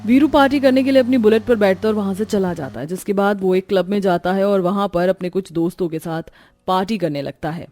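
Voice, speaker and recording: A woman's voice: mid-range voice, quick pace, darkish tone, dry recording. A woman reading a scripted moral story as its narrator.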